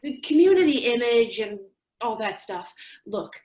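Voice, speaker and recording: High-voiced earnest woman, echoey room actress. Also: big pitch swings, moderate pace, darkish tone. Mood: defensive. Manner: explanatory, earnest. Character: earnest, defensive woman zoo employee backing her partner. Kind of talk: staged play reading.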